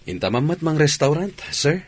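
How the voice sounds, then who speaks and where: A man's voice: fairly high pitch, sing-song, normal speed, slight background noise. A man playing a respectful, eager subordinate in a radio drama. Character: deferential male subordinate, eager bachelor.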